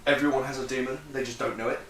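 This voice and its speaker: A man's voice: mid-range voice, flat delivery, rapid-fire. A young man chatting casually as host, explaining things like an enthusiastic, sweary fan, in a roomy, noisy recording. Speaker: host ranking TV hero suits with friends. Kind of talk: casual chat, explaining and commenting. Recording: roomy echo, clear background noise.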